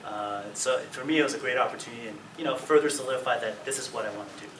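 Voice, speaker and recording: A man's voice: mid-range voice, rapid-fire. Man, panelist, plainspoken, heard from far off. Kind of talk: casual panel talk. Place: conference hall. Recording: echoey hall from far off, noisy.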